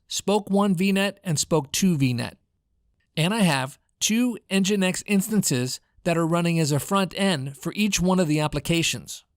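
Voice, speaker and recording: Higher-pitched American male narrator, dry studio sound. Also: no echo. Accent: General American. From United States. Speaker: voice-over narrator. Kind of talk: scripted product demo narration. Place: home studio.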